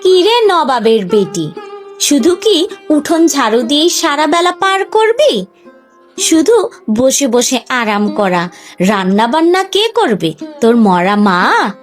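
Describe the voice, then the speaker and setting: A woman's voice: sits high, big pitch swings, slow pace, soft background music, heavily acted. A woman voicing a cruel, sarcastic village stepmother in a cartoon, nagging and taunting with sneers. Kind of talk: Bengali cartoon voice acting.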